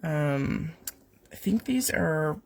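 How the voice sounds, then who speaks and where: Gravelly woman talking through a cold. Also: low-pitched, lively intonation, extremely slow. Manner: chatty, rambling, with fillers and asides to her cat. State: has a cold, voice weak and coming and going. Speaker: host of a live card-making stream. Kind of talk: casual step-by-step craft demonstration. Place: home basement.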